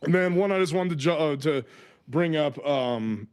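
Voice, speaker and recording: A man hosting a casual podcast, excitable and quick to laugh, often stumbling over his words. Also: fairly high pitch, clear, resonant tone. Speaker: podcast host. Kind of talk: casual sports podcast chat.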